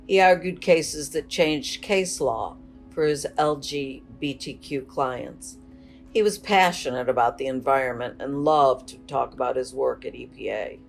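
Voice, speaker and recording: A woman delivering a prepared memorial speech as a bereaved spouse. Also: low voice. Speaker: bereaved spouse giving a eulogy.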